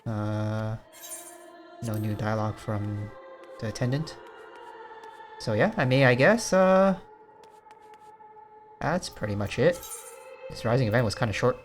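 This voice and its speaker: A man's voice: on the low side, a little slow, long pauses. A man giving casual, rambling let's play commentary in an understated way, thinking aloud as he goes. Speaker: gaming video host. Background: music behind the voice.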